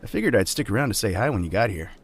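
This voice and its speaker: A man's voice: lowish voice, lively intonation, racing. An actor playing a laid-back, teasing young man and loyal friend in an audio drama, casual and joking. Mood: easygoing. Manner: casual, joking, swears freely.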